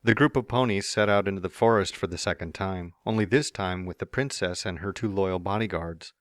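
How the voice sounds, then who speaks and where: A man's voice: low-pitched, fairly quick, clear, resonant tone, no echo, clean studio sound. A man reading a fan story aloud as narrator, with playful, smug first-person asides.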